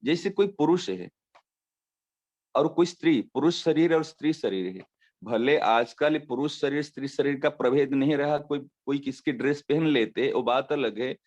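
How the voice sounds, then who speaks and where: A man giving a devotional discourse as a spiritual teacher, explaining with everyday examples and slowly repeating his key phrases. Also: medium pitch, darkish tone. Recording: dull lo-fi recording.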